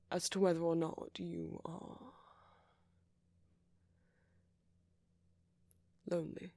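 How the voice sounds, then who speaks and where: A man playing a friendly, flirty ancient vampire in an intimate ASMR roleplay, breathy and often near a whisper, with gentle, teasing, genteel phrasing. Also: a little high, dry recording, exaggerated voice. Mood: tender, wistful.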